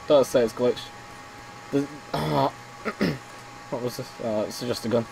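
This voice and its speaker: A man commentating casually on his own gameplay, slightly hoarse as he is losing his voice, muttering reactions as he plays. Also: mid-range voice, slow pace, a little mellow, slight background noise, low-quality recording. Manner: chatty, mutters reactions while playing. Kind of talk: casual let's-play commentary.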